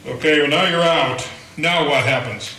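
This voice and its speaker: A man's voice: fairly high pitch, normal speed, sharp tone. A man playing a puzzled, worried small-town banker who keeps asking questions. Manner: questioning, fretful. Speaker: town banker Benson Bailey. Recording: roomy echo, a bit distant, faint hiss.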